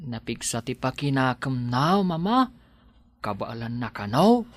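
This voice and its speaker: A man playing an earnest young husband and son in a radio drama, with a bright, forceful voice. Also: medium pitch, very animated, unhurried, no echo. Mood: calm, concerned. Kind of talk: Ilocano radio drama acting.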